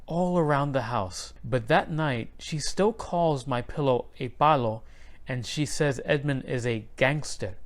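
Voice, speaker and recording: A man reading a children's picture book aloud, in clear and expressive storybook narration. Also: fairly high pitch.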